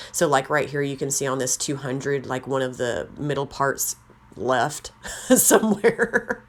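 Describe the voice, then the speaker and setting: A woman with a slightly raspy voice, chatting casually as she walks through a craft tutorial. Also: extremely low pitch. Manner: chatty, rambling, self-deprecating. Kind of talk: casual how-to narration.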